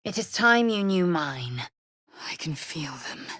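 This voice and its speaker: An actress voicing a fierce, haughty young alien warrior woman in a video game, husky and breathy, with an imperious, clipped, formal manner. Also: on the low side, sing-song, measured pace, a bit bright, no room sound, studio quality, heavily acted. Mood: cool, measured.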